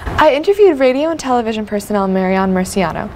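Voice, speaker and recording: A woman's voice: medium pitch, lively intonation, quick pace, slight background noise. A young woman interviewer reading an earnest scripted narration between interviews. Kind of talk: interview questions and scripted voice-over.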